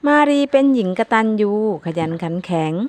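A woman's voice: a little high, expressive, moderate pace, a little mellow, no echo. A woman narrating a scripted children's folktale as a storyteller. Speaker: storyteller, channel host.

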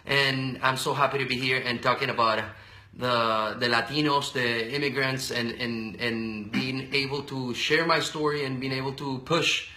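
Venezuelan accent, man in his thirties, bright forceful voice, echoey small room. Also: medium pitch, steady tone, moderate pace. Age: 30s. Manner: earnest and upbeat, many restarts and stammers. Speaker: guest, radio and TV personality. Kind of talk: casual interview conversation. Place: small indoor office room.

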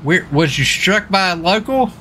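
Man, bright forceful voice, lively pitch, folksy, repetitive, casual police roleplay, game streamer. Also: moderate pace. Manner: folksy, excitable, repeats himself. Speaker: streamer role-playing a police officer. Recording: faint background noise, game sirens. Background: game sirens and vehicle sounds.

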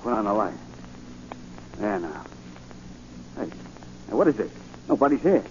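An actor playing a jumpy, shady small-time crook with rough, slangy speech, in 1940s radio drama style. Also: male voice, on the low side, big pitch swings, a little slow, a little mellow. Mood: startled, confused. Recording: muffled, lightly noisy 1940 radio recording.

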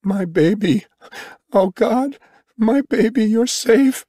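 A man voicing an injured, despairing father in an audiobook, murmuring haltingly in anguish. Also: high voice, dark tone, husky, no echo, studio quality, pitched above the performer's own voice, full character voice. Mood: overwhelmed, weeping.

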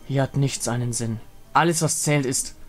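A man's voice: mid-range voice, normal speed, soft background music, close to an everyday voice. A man voicing a solemn, fatalistic female moon aspect from a fantasy game story. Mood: bleak despair.

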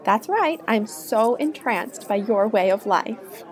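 A woman's voice: a little high, animated, takes it slowly. A woman playing a naive, devoted young sweetheart with earnest melodrama in a satirical Christmas radio play. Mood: sweetly entranced.

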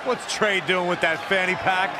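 A man's voice: high-pitched, steady tone, normal speed, over a noisy crowd, lightly acted. An actor playing a snarky male wrestling color commentator with a dry, sarcastic manner. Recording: game audio with loud noise.

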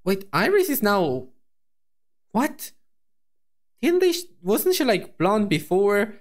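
A man streaming as a VTuber, reacting to a video in casual, rambling chat full of fillers and restarts, his voice hoarse. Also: sits high, big pitch swings, slow.

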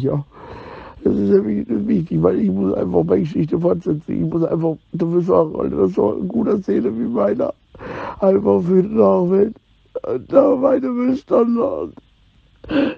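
A man's voice: sits high, normal speed, vocal fry, husky, dry recording. A middle-aged man, delivering a rambling, repetitive informal monologue in slangy language. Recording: dull lo-fi recording.

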